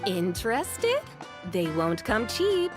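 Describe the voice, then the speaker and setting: A woman playing a shrewd but kindly merchant in a video game, speaking in a wry, teasing, expressive way. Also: medium pitch, slow pace. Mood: wry, businesslike. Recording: music behind the voice.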